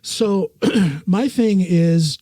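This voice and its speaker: A man hosting a casual podcast, easygoing and quick to laugh, often restarting his sentences. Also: high-pitched, warm tone, no echo. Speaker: podcast host.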